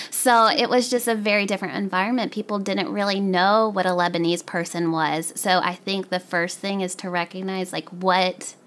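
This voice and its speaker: A woman's voice: mid-range voice, steady tone, normal speed, a bit bright. A woman from the American South giving earnest, enthusiastic, conversational interview answers as an education specialist. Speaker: professional learning specialist, podcast guest.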